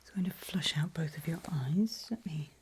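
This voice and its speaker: A woman whispering gently and reassuringly to a patient in an ASMR medical roleplay, close to the microphone. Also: sits low, moderate pace, a little hiss or hum. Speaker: ASMR performer playing a back-alley eye surgeon.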